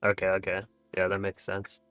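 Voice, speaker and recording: A man hosting a live day-trading stream, thinking aloud over charts, often trailing off into quiet remarks and laughing easily. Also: sits low, completely flat delivery, normal speed, clear, resonant tone, no echo, faint music behind. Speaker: day-trading livestream host. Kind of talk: live trading commentary with guests.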